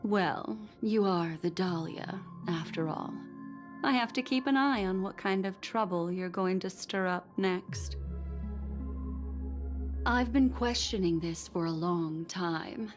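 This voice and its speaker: An actress playing a cool, stern and suspicious woman in a video game, speaking in a measured, guarded, cutting way. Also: on the low side. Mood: suspicious, cold. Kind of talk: dramatic video game voice acting. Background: faint background music.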